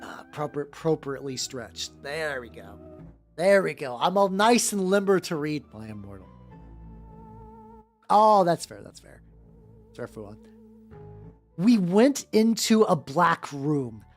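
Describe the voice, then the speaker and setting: Playful lady streamer, super lively pitch, jokey chat and read-aloud, bit of music behind. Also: a little slow, long pauses. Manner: playful, joking. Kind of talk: casual livestream chat and comic fanfiction read-aloud. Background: background music.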